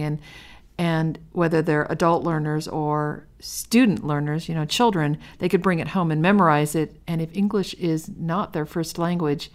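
A woman co-hosting a podcast in a friendly, affirming, conversational way. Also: on the low side. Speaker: podcast co-host. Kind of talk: casual podcast discussion.

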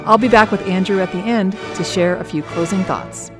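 A woman's voice: medium pitch, a little fast, music in the background. Polished woman reading a podcast intro. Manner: polished, welcoming read. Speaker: podcast co-host.

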